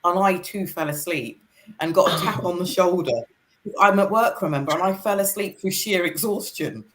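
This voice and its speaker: Middle-aged British co-host, lowish voice, chatty and repetitive. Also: female voice, normal speed, a bit bright, a little hiss or hum, poor audio. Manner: chatty, repeats herself, apologetic asides. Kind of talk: casual chat.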